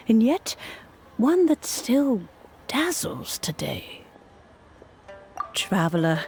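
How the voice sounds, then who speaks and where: A woman playing a serene, kindly elderly master in a video game cutscene, speaking gently in genteel, old-fashioned phrases. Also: fairly low pitch, gravelly, exaggerated voice.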